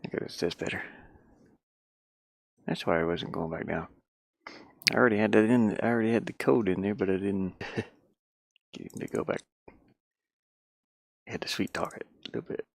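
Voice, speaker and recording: A man thinking aloud in a casual, halting mutter as he works on a game at his computer, talking mostly to himself. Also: low voice, gravelly. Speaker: hobby game developer. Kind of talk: casual think-aloud screen-recording commentary.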